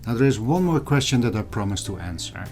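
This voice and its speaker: A middle-aged man narrating a vlog in a measured, explanatory way, speaking directly to his viewers as the host. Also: on the low side, very animated, darkish tone. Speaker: video channel host. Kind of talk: scripted vlog narration over old photos and footage. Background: music, guitar.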